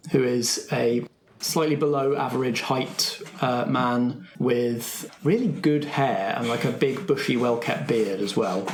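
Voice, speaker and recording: A man's voice: mid-range voice, roomy sound. A man narrating a tabletop roleplaying game casually and off the cuff as game master.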